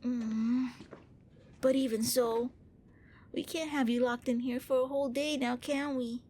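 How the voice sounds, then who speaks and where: A woman playing a gentle, bookish young vampire prince in an ASMR roleplay, speaking with hesitant, earnest courtesy. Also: high-pitched, fairly even intonation, unhurried. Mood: apologetic. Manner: hesitant, politely fussy, earnest.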